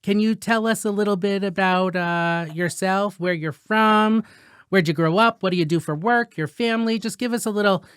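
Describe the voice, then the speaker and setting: A man's voice: high voice, normal speed, a bit bright, clean tone, no echo, studio quality. A young man hosting a casual podcast, chatty and upbeat, rambling from one update to the next. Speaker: host.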